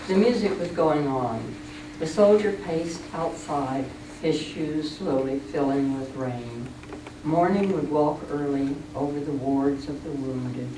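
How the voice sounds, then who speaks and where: Low-voiced, slow woman in an echoey room. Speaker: reading group participant. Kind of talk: slow poetry read-aloud and group discussion. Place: indoor room at a senior center. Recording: echoey room, distant mic, clear noise.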